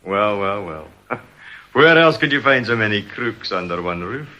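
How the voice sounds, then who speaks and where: A man's voice: on the low side, expressive, a little slow, pushed and bright, muffled recording. An actor playing a suspicious, sardonic English ex-police inspector in a radio drama, needling whoever he talks to. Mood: sneering, suspicious. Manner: sardonic, needling, hesitant uhs. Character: suspicious, sneering male ex-police inspector turned security man. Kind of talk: British radio drama acting. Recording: faintly noisy radio drama recording.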